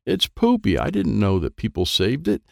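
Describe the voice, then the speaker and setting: A man voicing excitable, squealing young girls in a children's storybook, full of exclamations. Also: lowish voice, moderate pace, gravelly, dry recording, studio quality, voice pushed up, exaggerated voice. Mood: disgusted curiosity. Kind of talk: children's storybook character dialogue.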